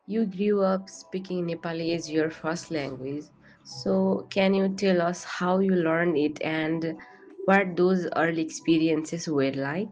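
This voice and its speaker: A woman hosting a podcast interview, delivering her prepared lines slowly and deliberately. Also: fairly low pitch, darkish tone, low-quality recording. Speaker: podcast host. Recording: faint background music.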